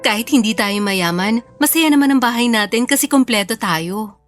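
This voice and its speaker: A loving mom played by an actress talks in a fairly high voice, quick to scold in jest. The delivery is radio drama acting, with light music behind. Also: female voice, animated, close to an everyday voice. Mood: tender. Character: loving, mock-scolding mother of two sons. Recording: faint music bed under voice.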